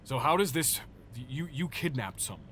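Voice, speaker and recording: A man playing a weary, bemused vigilante detective in an improvised comedy audio drama, deadpan, hesitant and conversational. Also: mid-range voice, normal speed, slight background noise. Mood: patient, puzzled.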